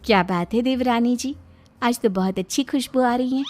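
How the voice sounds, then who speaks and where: A woman's voice: a little high, moderate pace, a little mellow, a little hiss or hum, voice pushed up, lightly acted. A woman voicing a sly village elder sister-in-law in a cartoon story, sarcastic and mock-sweet as she teases. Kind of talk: Hindi cartoon story character acting.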